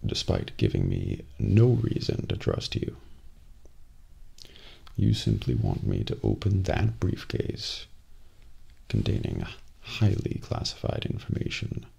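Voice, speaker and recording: A man acts out a cold male assassin for an ASMR role play. His voice is very husky and full of gravel, kept soft and close to the mic. He questions with slow, measured suspicion and quiet menace. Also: rumbling low, wildly swooping pitch, heavily acted. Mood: quietly menacing. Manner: slow, deliberate, measured interrogation. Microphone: close binaural microphone.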